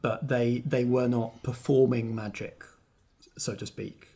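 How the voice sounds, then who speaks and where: A man's voice: mid-range voice, level tone, unhurried, mellow, slightly rough. A man acting as game master, narrating a tabletop game with descriptive, storytelling phrasing.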